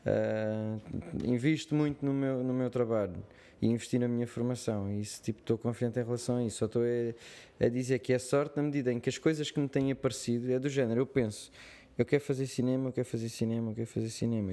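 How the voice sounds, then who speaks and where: A man's voice: medium pitch, fairly even intonation, fast talker, few pauses, warm tone. A young Portuguese man, an actor, telling stories in a slangy, rambling casual interview chat with a European Portuguese accent. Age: young adult. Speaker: actor guest. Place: studio.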